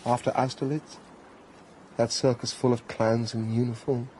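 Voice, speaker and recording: A man's voice: medium pitch, little pitch movement, normal speed, dark tone, husky, close to an everyday voice, rough recording. A man with a British accent playing a disillusioned, cynical prince in a radio drama, well-spoken, weary and clipped in manner. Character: disillusioned, cynical Russian prince, a brooding landowner.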